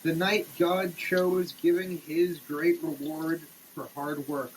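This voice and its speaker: A man giving a theatrical, declamatory dramatic reading, swinging between hushed delivery and shouting, as a comic performance. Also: a little high, flat delivery, slow, a little hiss or hum, rough recording. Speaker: sketch comedy performer. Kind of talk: dramatic reading of a product label. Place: shower.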